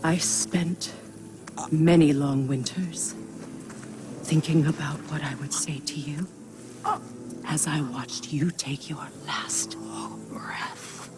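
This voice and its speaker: An actress playing a proud, vengeful Norse goddess queen in a dramatic video game, measured, regal and menacing. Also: sits low, steady tone, noisy background. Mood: quiet menace. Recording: loud music behind the voice. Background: dramatic game score.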